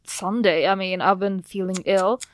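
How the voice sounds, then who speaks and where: A woman's voice: mid-range voice, normal speed, no room sound. A young woman, born in Sweden to Polish parents, co-hosting a chatty podcast in a radio studio. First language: Polish and Swedish. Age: young adult. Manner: relaxed, chatty, laughs often. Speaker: podcast co-host. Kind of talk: casual chat. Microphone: studio microphone.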